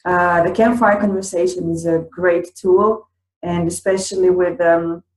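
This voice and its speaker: A woman from Croatia with a Croatian accent, a teacher adding remarks to a conference talk, speaking haltingly with many fillers. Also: fairly low pitch, steady tone, a little mellow, echoey room, poor audio. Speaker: teacher and co-presenter.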